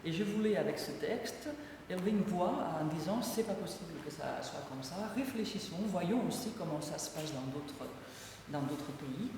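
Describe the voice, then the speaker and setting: Catalan-native woman author, halting lecture delivery, distant mic, faint room ambience. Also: deep voice, animated, noisy background. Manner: hesitant, many fillers and restarts. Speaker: author presenting her book. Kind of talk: lecture-style book presentation. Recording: echoey hall from far off.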